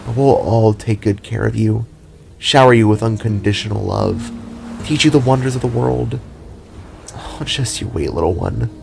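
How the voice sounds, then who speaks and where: A man voicing a gentle, loving expectant mother in a fantasy audio drama, speaking softly and tenderly. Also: lowish voice, creaky.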